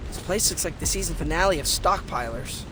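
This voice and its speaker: A confident teenage turtle from a cartoon game , played by a man. He is wry and quippy, with a high voice over clearly noisy ambience. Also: expressive, a little fast, lightly acted. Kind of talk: cartoon video game acting.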